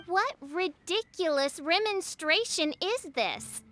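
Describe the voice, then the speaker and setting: Haughty, high-voiced theatrical young princess. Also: female voice, expressive, takes it slowly, a bit bright, soft background music, heavily acted. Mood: haughty indignant. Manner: grandiose, archaic, florid declamation. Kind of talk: theatrical game voice acting.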